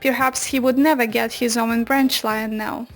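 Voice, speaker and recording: A woman's voice: a little high, fairly even intonation, normal speed, soft background music. A woman narrating a children's story from a script, as if reading a picture book aloud.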